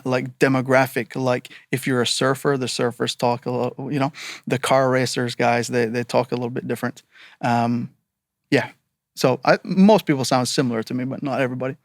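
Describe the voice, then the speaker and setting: A man's voice: mid-range voice, level tone, a little fast, no room sound, studio quality. A man in his thirties from Barbados with a part Barbadian, part American accent, telling stories as a podcast guest and joking at his own expense with lots of fillers. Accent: Barbadian mixed with American. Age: 30s. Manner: joking, self-deprecating, with frequent fillers and restarts. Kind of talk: casual storytelling interview.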